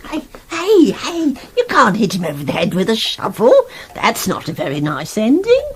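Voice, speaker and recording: A woman's voice: a little high, wildly swooping pitch, moderate pace, breathy edge, exaggerated voice. An actress playing a homely, scolding old grandmother in a radio play, no-nonsense and colloquial. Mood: disapproving.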